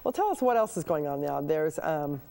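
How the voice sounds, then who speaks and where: A woman hosting a conversational talk show, chatty and quick to interject with short reactions and questions. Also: deep voice, animated, creaky, dark tone, clear, resonant tone. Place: TV studio.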